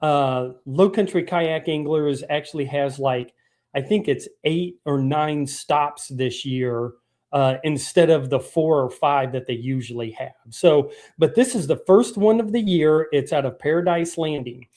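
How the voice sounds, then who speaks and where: A man's voice: a little high, unhurried, a little mellow. A man hosting a live fishing show, his voice froggy from a lingering cough, talking loosely with many ums and restarts. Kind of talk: live stream show talk. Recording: live stream broadcast.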